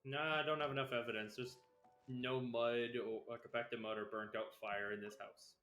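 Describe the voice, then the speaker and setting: A man's voice: mid-range voice, fairly even intonation, normal speed, fairly bright tone, faint music behind. A man doing live gameplay commentary as a streamer, playful and offhand, sometimes reading game text aloud. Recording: roomy, echoing stream mic, faint noise.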